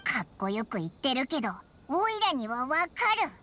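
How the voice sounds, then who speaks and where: A woman voicing a small, childlike, excitable and blunt fairy companion in an anime-style Japanese video game. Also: normal speed, a little hiss or hum, heavily acted. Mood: blunt, curious. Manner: squeaky, blunt, exclamatory.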